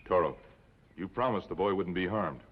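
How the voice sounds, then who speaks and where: An actor playing an upright, steady masked hero of a 1950s television western, terse and authoritative, with an American accent. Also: male voice, sits low, moderate pace, slightly rough, long reverb tail. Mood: commanding. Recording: muffled old TV soundtrack, faint noise.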